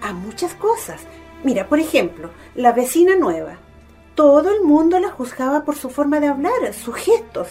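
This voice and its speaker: High, lilting kindly mother. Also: very animated, moderate pace, warm tone, faint music behind. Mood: intrigued, confiding. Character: kindly, gently intrigued mother of the family. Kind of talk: radio drama acting.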